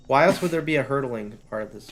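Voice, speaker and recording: A man playing a horror game, nervous and pleading when chased, chatting casually with a friend. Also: medium pitch. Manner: jittery, pleading under pressure. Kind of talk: casual gaming chat. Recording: noisy mic with clicks.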